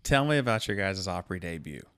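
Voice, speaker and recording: A man with a somewhat low voice hosts the interview. He comes in with friendly, quick follow-up questions and keeps the conversation moving. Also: very animated, fairly bright tone, smooth. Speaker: podcast interviewer. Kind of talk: casual interview.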